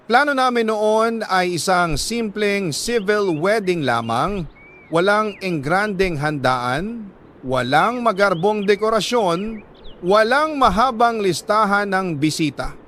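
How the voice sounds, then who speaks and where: A man with a Filipino accent reads a sentimental letter as a radio storyteller, in a measured, heartfelt, dramatic way with deliberate pauses between phrases. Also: high-pitched, lively intonation, a bit bright, slight background noise.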